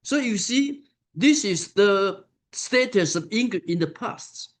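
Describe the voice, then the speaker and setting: Male keynote speaker, higher voice, slow and deliberate, lots of "uh" and "you know". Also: lively intonation. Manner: unhurried, many fillers and pauses. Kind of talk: academic conference lecture. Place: conference hall.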